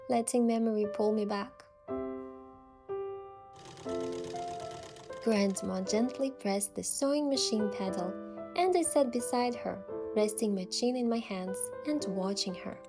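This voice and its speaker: A young woman playing a sincere, sensitive heroine who narrates her story in a game, earnest and careful, with music behind her. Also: a little high. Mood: wistful. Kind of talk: scripted first-person game narration.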